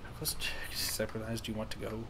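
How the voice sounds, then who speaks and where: A young man streaming a game late at night, talking softly and calmly, almost whispering so as not to wake anyone. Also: lowish voice, fast, background noise. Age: young adult. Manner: soft, calm, near-whispering. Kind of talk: casual gaming stream chat. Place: room at home, late at night.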